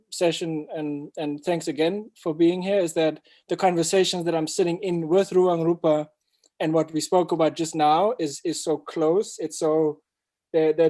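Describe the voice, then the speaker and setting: Mellow man, reflective and halting. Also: fairly high pitch. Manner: reflective, halting with repeated words. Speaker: host and storyteller. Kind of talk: casual video-call chat. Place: indoors on a video call.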